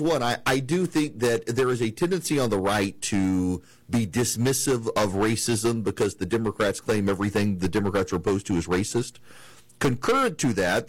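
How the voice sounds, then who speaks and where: A man's voice: medium pitch, no room sound, low-quality recording. Southern male talk radio host, dry studio. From American South. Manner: rambling, many restarts and fillers. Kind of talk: conversational political talk radio monologue.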